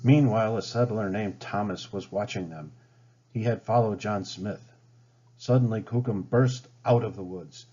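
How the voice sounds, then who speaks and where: A man with a low, husky voice narrates a children's storybook. His reading is expressive and dramatic, on a slightly dull recording. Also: normal speed. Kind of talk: storybook read-aloud narration. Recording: slightly dull, band-limited sound.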